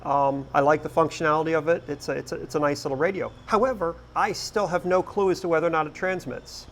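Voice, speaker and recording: A man's voice: a little high, steady tone, quick pace, fairly bright tone. A man talking casually to camera as the host of a boating video. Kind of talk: casual vlog talk to camera. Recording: noisy outdoor background sound.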